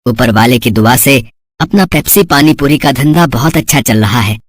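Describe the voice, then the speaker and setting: A man voicing an earnest, worried father who runs a street food cart, gentle and reflective, in a moral story. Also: medium pitch, animated, normal speed, dry recording, studio quality, natural voice. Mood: hopeful, tender. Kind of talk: Hindi moral story voice acting.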